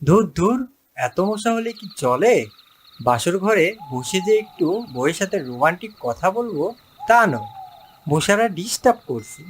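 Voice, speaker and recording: Man voicing a melodramatic young cartoon groom, higher-pitched. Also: very animated, moderate pace, slight background noise. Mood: annoyed. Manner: melodramatic, exclaiming, lamenting. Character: excitable young bachelor animal, comic hapless groom. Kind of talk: exaggerated cartoon voice acting.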